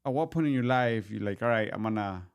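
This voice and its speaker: A man's voice: fairly low pitch, expressive, quick pace, vocal fry, clear, resonant tone. A man hosting a casual interview podcast, easygoing, asking questions and filling in with mm-hmms. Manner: easygoing, many backchannel mm-hmms. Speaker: podcast host.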